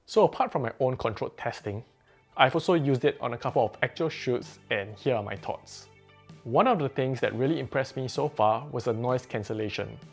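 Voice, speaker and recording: A man reviewing a headset in a plain, measured, explanatory way, as a scripted voice-over. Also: mid-range voice, vocal fry. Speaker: tech reviewer. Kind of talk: scripted product review narration.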